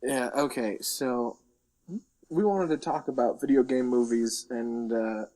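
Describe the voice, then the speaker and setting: Slow-paced man, jokey casual podcast co-host. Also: medium pitch, warm tone, low-quality recording. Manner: jokey, repeats words for emphasis. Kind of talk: casual banter about games and films.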